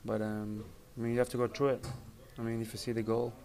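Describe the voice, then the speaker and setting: A man in his thirties, a soccer team captain, answering reporters after a match in a halting, even-toned way that repeats words, with mics held close. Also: mid-range voice. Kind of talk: post-match press interview. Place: indoor press area in front of a sponsor backdrop. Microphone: reporters' handheld mics and phones held close. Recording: noisy crowded press area. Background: crowd chatter.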